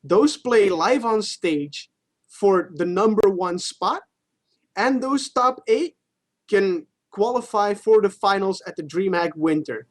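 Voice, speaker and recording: High-pitched man chatting about gaming. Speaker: co-host of a gaming talk show. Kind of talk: casual gaming chat, explaining esports news.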